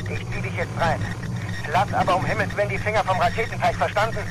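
Man acting high-voiced, terse space commander. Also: fast, background noise, close to an everyday voice. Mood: firm, urgent. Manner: terse, authoritative. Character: male space commander, brisk, commanding, steady under pressure. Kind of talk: German audio drama acting.

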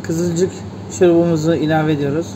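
A man's voice: a little high, little pitch movement, a little fast, background noise. A man in his thirties hosts a step-by-step cocktail demonstration at a hotel bar and plainly narrates each step as he works. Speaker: bartender host.

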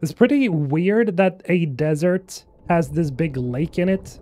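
A man in his thirties from Sweden streams a game and keeps up a joking, rambling chat with his viewers. His voice sits a little high for a man. He is heard through a headset mic in a small room. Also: expressive, slow pace, mellow. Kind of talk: casual gameplay chat.

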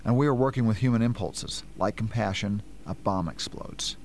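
A man playing a measured, cerebral scientist and inventor in an amateur radio play. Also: on the low side, a little mellow, slight background noise, lightly acted. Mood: explaining.

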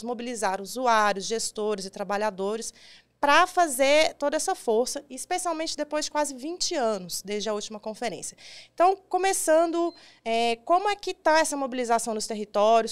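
A woman's voice: fairly high pitch, smooth. A woman in her thirties with a Brazilian accent, hosting a podcast and guiding an interview at a studio microphone. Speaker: podcast host. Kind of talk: scripted introduction and interview questions. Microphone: desk studio microphone on boom arm.

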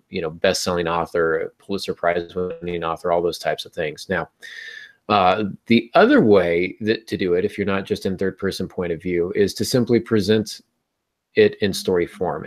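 An American man in middle age with a fairly low voice talks as a podcast host. He explains things in a conversational way, as if on a live video call from a small room at home. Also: a little mellow. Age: middle-aged.

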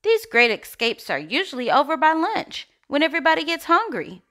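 A woman reading a children's picture book aloud in an expressive narrating style. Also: high voice, fairly quick. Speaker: storybook reader.

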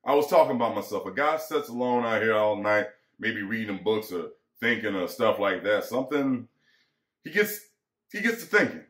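A man's voice: on the low side, very animated, normal speed, a bit bright. A young man playing a lonely, bitter ranch hand who needles and broods, in a dramatic reading. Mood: brooding. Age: young adult. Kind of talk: dramatic reading of a novel's dialogue. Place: small indoor home room, webcam at desk distance. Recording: roomy, echoing home recording.

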